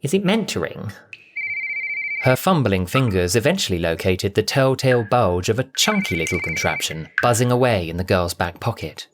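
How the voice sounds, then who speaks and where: A man narrating a dramatic audio story from a script in a theatrical, expressive way. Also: fairly low pitch, brisk, few pauses, very noisy.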